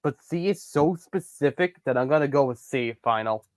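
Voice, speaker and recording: A man's voice: mid-range voice, animated, normal speed, a little mellow, dry recording. A man takes his turn as a quiz contestant on a stream. He jokes and teases as he picks his answers, in an ordinary mid-range voice. The sound is dry and close. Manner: joking, teasing. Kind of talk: casual joking chat.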